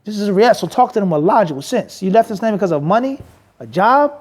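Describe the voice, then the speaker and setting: High-voiced man preaching emphatically. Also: animated, fast, darkish tone. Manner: emphatic, asks rhetorical questions to the crowd. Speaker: Islamic teacher. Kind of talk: lecture with Q&A. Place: mosque.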